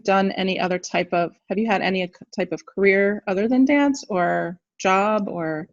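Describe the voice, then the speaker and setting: A woman's voice: mid-range voice, normal speed, no room sound. A woman hosting a casual interview, upbeat, laughing often and giving short encouraging replies. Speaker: school co-founder and interview host. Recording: dull, dry lo-fi sound.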